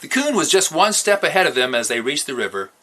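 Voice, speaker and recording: A man reading a novel aloud as an expressive storyteller. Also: a little high, quick pace. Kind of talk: expressive read-aloud narration.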